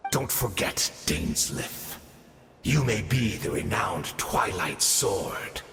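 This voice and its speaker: Aged immortal swordmaster, low gravelly voice, slow swooping delivery, theatrical mock courtesy, video game drama. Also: male voice, lively intonation, exaggerated voice. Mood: proud, resigned. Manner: deliberate, theatrical, mockingly polite. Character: weary immortal old swordmaster, sardonic, taunting former pupil. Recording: echoey long reverb, music behind.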